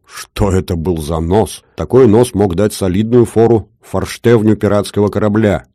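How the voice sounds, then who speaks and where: A man reading a first-person story aloud in a measured, wry storytelling manner. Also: on the low side, warm tone, no echo, clean studio sound. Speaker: audiobook narrator. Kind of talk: first-person audiobook narration.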